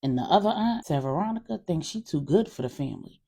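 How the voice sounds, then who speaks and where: A woman voicing a male private investigator in an audio story, reporting in a brisk, informal way. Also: deep voice, animated, warm tone, slightly rough, heavily acted. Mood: matter-of-fact.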